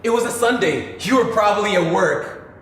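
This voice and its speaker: A man's voice: high-pitched, animated, normal speed, fairly bright tone. A man playing a cocky, flippant younger brother and heir in a stage play, with projected wisecracks. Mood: evasive. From Tanzania. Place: theatre stage, picked up from a distance. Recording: echoey theatre, distant mic, faint noise.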